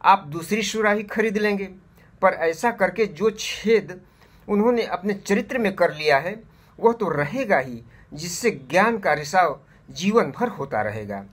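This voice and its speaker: Storybook old pot seller, played by a male narrator: wise, deliberate, husky, courteously reproachful. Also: high voice, animated, fairly bright tone, heavily acted.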